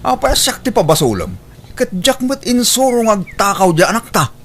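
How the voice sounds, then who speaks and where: An actor with a Filipino accent playing an irresponsible, argumentative and dismissive husband in a radio drama. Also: male voice, high-pitched, sing-song, moderate pace, a bit bright, heavily acted. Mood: angry, defensive. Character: irresponsible, gruff husband with vices, defensive. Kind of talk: Ilocano radio drama acting.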